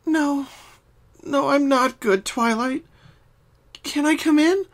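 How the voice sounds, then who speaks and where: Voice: high-pitched, slow, voice pushed up. A performer voicing a frightened, exhausted young female pony with a quivering, pleading voice in a cartoon fan reading. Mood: distressed. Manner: whiny, dramatic, pleading.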